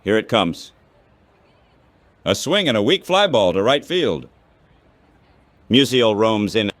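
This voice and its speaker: A man calling baseball play-by-play as an announcer, in short, measured phrases. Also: lowish voice, sing-song, a bit bright, no room sound.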